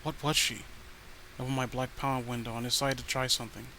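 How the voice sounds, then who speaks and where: A man's voice: mid-range voice, level tone, fast talker, bright, forceful tone. A man narrating a horror story in a casual manner, stumbling over the odd word. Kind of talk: dramatic horror story reading. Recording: faint background noise.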